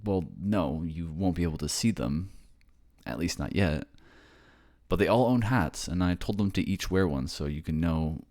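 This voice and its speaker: A man's voice: low-pitched, normal speed, a little mellow, lightly acted. A man acting in an ASMR roleplay as an earnest, reassuring boyfriend raised by ghosts, with a hesitant manner. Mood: insistent, pleading.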